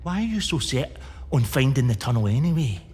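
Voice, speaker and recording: A man's voice: medium pitch, big pitch swings, brisk, a little mellow, husky. An actor voicing a cautious, teasing boy friend in a period audio drama. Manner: cautious then mocking. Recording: distant, with long reverb and faint hiss.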